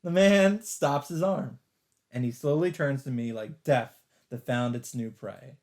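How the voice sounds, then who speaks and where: A man reading a visual novel aloud as a let's player, earnest and expressive, mixing dramatic narration with casual commentary. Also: medium pitch, measured pace. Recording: background music under the voice. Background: game music.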